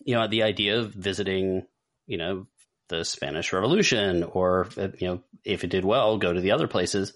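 A man's voice: low voice, normal speed. A man co-hosting a podcast, chatting casually and ranking things with rambling asides. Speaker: podcast co-host. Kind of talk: casual chat, film ranking.

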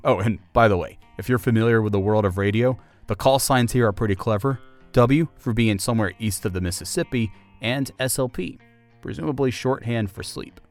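A man's voice: medium pitch, a little fast, faint music behind. A man hosting a podcast, delivering scripted documentary-style narration.

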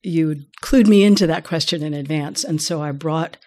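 Middle-aged female expert, low-pitched, measured and explanatory, gently wry. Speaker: voice care expert guest. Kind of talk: relaxed conversational interview.

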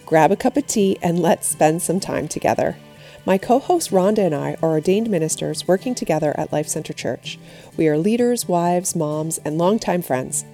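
A middle-aged woman, an ordained minister, hosting a podcast: a scripted welcome, then animated, laughing chat. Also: lowish voice, moderate pace, faint music behind. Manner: animated storyteller who laughs often.

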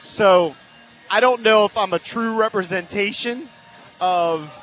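High-voiced man on sports radio. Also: a little slow, a bit bright, soft background music, poor audio. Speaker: radio show guest. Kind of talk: live sports radio talk. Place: live event venue.

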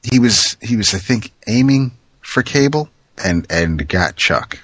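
A man's voice: lowish voice, animated, unhurried, a bit bright, breathy edge, no echo. A man co-hosting a podcast in laid-back casual chat, filling in the details. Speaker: podcast co-host.

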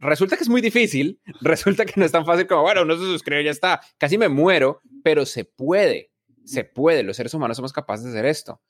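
A man's voice: fairly high pitch, expressive, brisk. A man, a reflective interview guest who often restarts his phrases. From Colombia. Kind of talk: casual interview talk.

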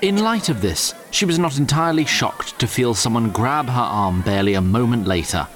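Man as an animated, wry fantasy storyteller. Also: mid-range voice, moderate pace, lightly acted. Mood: dramatic. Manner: wry, formal, dramatic. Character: wry, theatrical male storyteller narrator. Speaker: narrator. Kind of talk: scripted fantasy narration.

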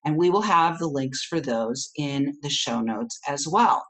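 A woman's voice: low-pitched, expressive, measured pace. A woman hosting a podcast, interviewing a guest and making upbeat announcements. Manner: upbeat, promotional. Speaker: podcast host.

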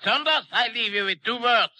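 An actor playing an irascible, fussy foreign-accented professor, complaining indignantly in a 1950s radio drama. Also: male voice, extremely high pitch, normal speed, bright, forceful tone, exaggerated voice. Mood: huffy.

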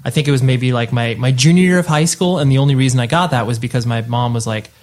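A man in his thirties with an American accent, hosting a relaxed conversational interview in slangy, agreeable speech. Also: mid-range voice, fast. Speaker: interviewer, host.

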